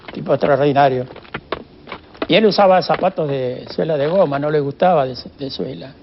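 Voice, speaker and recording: An older man with an Argentine accent reminiscing in an interview in a halting, rambling way. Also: fairly high pitch. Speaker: former radio sound effects worker. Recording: lo-fi with faint noise and clicks.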